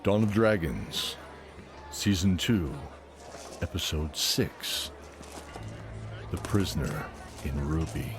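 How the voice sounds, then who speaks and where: A man's voice: rumbling low, lively intonation, takes it slowly, pauses often. A man giving scripted, dramatic narration for a fantasy audio drama.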